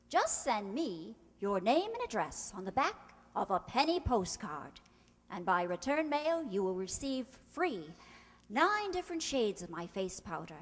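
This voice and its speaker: A woman playing a gracious beauty spokeswoman, measured and persuasive, in a vintage-style radio commercial. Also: a little high, a bit bright, heavily acted. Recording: reverberant hall stage recording.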